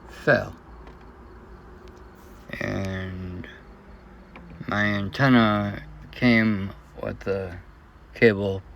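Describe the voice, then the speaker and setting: A man talking in a casual, unscripted vlog, troubleshooting in a hesitant and unsure, halting way. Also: on the low side, creaky, a little hiss or hum. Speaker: vlogger.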